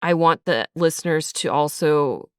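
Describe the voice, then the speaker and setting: A woman's voice: low-pitched, measured pace, clean tone, dry recording, studio quality. A woman guest speaking casually and reflectively as a writer in an interview, thinking aloud as she goes. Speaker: writer and creator of an audio drama, guest. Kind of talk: casual behind-the-scenes interview.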